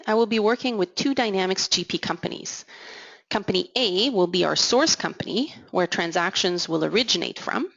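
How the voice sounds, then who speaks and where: A woman giving a measured, explanatory software product demo as voice-over, slowing down on key terms. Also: mid-range voice, vocal fry, dry recording, lo-fi sound. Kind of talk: scripted product demo narration.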